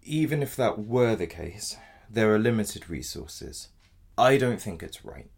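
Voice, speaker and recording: An actor in an audio drama playing a polite but blunt defence solicitor, gravelly and measured in his delivery. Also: on the low side, sing-song, full character voice. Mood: firm, matter-of-fact. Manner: measured, matter-of-fact, formally polite. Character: apologetic but blunt male defence solicitor in dystopian future.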